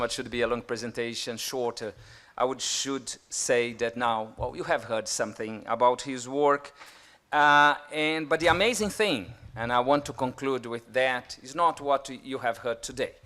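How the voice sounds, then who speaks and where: A man with a smooth, clear voice at a middle pitch hosts a conference. He introduces a speaker off the cuff, effusive in his words and a little halting in delivery. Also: a bit bright. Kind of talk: unscripted speech of introduction.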